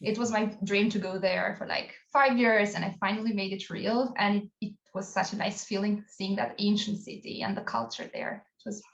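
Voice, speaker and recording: A woman's voice: mid-range voice, fairly even intonation, normal speed, vocal fry, few pauses. This woman in her thirties is from Albania and answers in a friendly, talkative way. Her voice comes through a roomy space. The sound is slightly dull and muffled, as on a remote call. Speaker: guest, people analytics analyst. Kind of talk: casual interview answers.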